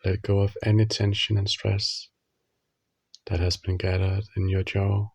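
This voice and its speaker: Male meditation guide, husky and very unhurried, soothing short phrases, even delivery for sleep relaxation. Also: fairly low pitch, no room sound. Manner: slow, soothing, instructional, with long pauses between short phrases.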